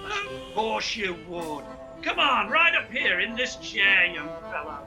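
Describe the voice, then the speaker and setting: Radio play role: performer as slick, grandiloquent street hawker. Unhurried, highly animated delivery over music. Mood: slick, showy. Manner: grandiloquent showman's patter. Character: brash, slick street hawker of toothpaste and hair tonic. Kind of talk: theatrical radio play acting, sales patter.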